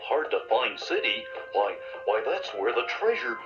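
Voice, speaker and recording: A man voicing a big, friendly, simple woolly creature in a children's audio story, slow and naive, with a muffled, distant sound. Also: lowish voice, big pitch swings, over music. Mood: awed.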